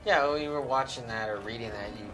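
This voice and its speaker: A man talking casually as a developer on a game panel, his voice quiet in the mix. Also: mid-range voice, fast, poor audio. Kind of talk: casual chat. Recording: quiet voice under loud music.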